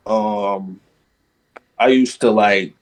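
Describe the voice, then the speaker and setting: Young male podcast guest, originally from Guyana; relaxed, filler-heavy conversational delivery. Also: lowish voice, very slow. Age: young adult. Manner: relaxed, lots of fillers like "you know what I'm saying". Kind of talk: casual conversation.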